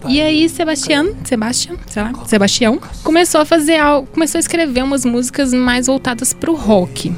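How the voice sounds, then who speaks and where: A woman's voice: sits high, brisk, hardly any pauses, soft background music. A woman with a Brazilian Portuguese accent co-presenting a radio show in a playful, chatty way with jokey asides. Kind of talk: casual radio chat.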